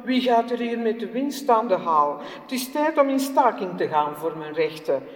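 An indignant, militant workman, put on by a woman storyteller, shouting his grievances. His voice echoes through a long hall reverb, heard from well away from the mic. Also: mid-range voice, animated, moderate pace, recorded from a distance, pitched above the performer's own voice, lightly acted. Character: angry militant male worker.

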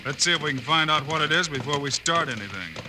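An actor playing a calm, steadfast American frontier lawman, brisk and level-headed, in a Western radio drama. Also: fairly high pitch, close to an everyday voice. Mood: steady. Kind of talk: 1950s Western radio acting. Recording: faintly noisy old radio recording.

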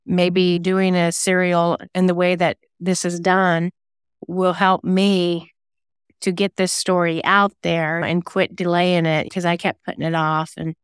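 A woman's voice: on the low side, a bit bright, clean tone, no echo, clean studio sound. A woman talking casually as an interview guest, chatty and self-effacing. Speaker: interview guest, singer-songwriter and novelist.

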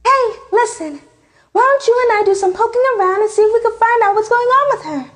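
Woman as very high-pitched, excitable teenage anime heroine. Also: fast talker, long reverb tail. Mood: scheming. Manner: melodramatic, expressive. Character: excitable, boy-crazy, boastful teenage girl heroine. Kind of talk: anime dub acting.

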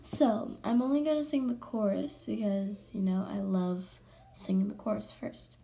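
A young woman hosting her own podcast, chatting casually and rambling with self-corrections, her voice hoarse from allergies. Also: medium pitch, fairly even intonation, moderate pace, mellow. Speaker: podcast host. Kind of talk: casual solo podcast chat.

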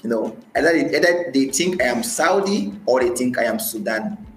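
A man's voice: mid-range voice, expressive, moderate pace, a little hiss or hum. A man from Nigeria with a Nigerian accent, talking as a podcast guest about his experiences and often repeating phrases for emphasis. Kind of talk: conversational interview, recounting personal experience. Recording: roomy echo, faint music.

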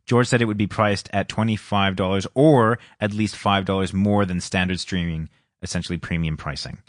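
A man talking in a casual podcast chat, his voice a touch low. He tells stories animatedly and laughs often. He is close to the mic in a dry, dead room. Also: steady tone, moderate pace, no echo. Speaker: podcast co-host.

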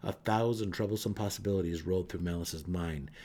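A man's voice: deep voice, fairly quick, mellow. A man narrating a fantasy audiobook dramatically, with theatrical emphasis.

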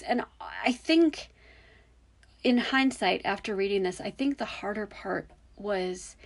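Woman, young-sounding voice, husky, hesitant casual podcast chat, mid pitch. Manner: musing, hesitant, many fillers. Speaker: podcast host. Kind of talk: casual solo podcast commentary.

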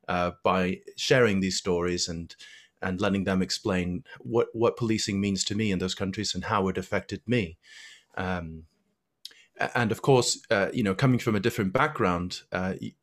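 A man from Argentina, a guest in an interview, answering in a measured, reflective way with frequent hesitations. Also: fairly low pitch.

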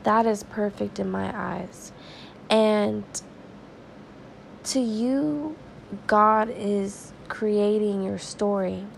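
A woman hosting a devotional podcast, sounding stuffy and raspy from crying, talking earnestly and praying in a conversational way. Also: mid-range voice, slight background noise, poor audio. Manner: earnest, conversational, slow and prayerful. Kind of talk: informal devotional talk and prayer.

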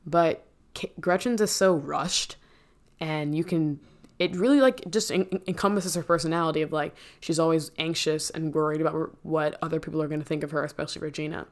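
A woman with a notably low speaking voice talks through a stage musical scene by scene. Her delivery is casual and conversational, dotted with "like" fillers. She often stops to correct herself mid-sentence. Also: fairly even intonation, fairly quick. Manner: chatty, many "like" fillers and self-corrections. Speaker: musical theater fan recapping a show. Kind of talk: casual spoken recap.

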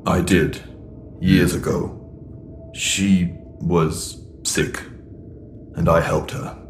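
Very deep, solemn alien. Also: male voice, steady tone, a little hiss or hum, exaggerated voice. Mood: grave. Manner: terse, measured, solemn. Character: Throg, grave lawful alien Ferran, secretly protective. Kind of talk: sci-fi audio drama acting.

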